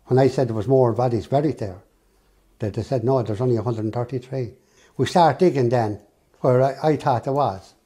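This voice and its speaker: An older man giving an interview in a small office, halting and repeating words as he speaks. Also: mid-range voice, warm tone. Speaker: interviewee, former gravedigger. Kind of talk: interview testimony.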